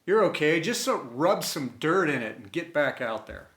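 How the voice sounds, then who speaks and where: A man playing a gruff, dismissive father who brusquely orders his child to toughen up, in a storytelling piece. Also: on the high side, big pitch swings, normal speed, fairly bright tone. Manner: brusque, commanding. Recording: roomy, echoey sound.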